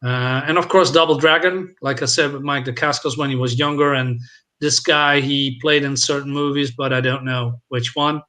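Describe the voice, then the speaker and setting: A man's voice: mid-range voice, steady tone, moderate pace, bright, forceful tone. A man co-hosting a casual movie discussion, giving his opinions freely. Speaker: film discussion show co-host.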